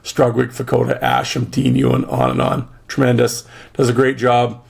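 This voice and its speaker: A man with a gravelly, mid-pitched voice talks on his own podcast, loose and rambling, with plenty of fillers and dry jokes. His voice is going, so he keeps clearing his throat between thoughts. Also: flat delivery, normal speed, darkish tone. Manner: rambling, chatty, joking, lots of fillers. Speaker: podcast host. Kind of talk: casual solo podcast chat.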